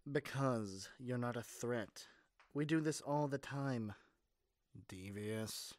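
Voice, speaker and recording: A man's voice: mid-range voice, slow, dry recording, studio quality, close to an everyday voice. A man playing a caring but cocky young superhero in an audio roleplay, speaking in an earnest, casual, teasing way. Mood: dismissive. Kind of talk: amateur ASMR audio roleplay.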